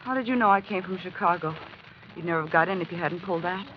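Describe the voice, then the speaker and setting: A woman's voice: fairly low pitch, fast talker, close to an everyday voice. An actress playing a tough, wary young showgirl, brusque and streetwise, in 1940s radio drama style. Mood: hostile, wary. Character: tough, wary young female tent show dancer. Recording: muffled, hissy old radio recording.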